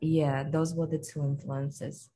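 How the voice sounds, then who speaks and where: A woman's voice: deep voice, slow, slight background noise. Chatty young woman from Kazakhstan. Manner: relaxed and chatty, many fillers like "like" and "um". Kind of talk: casual podcast interview.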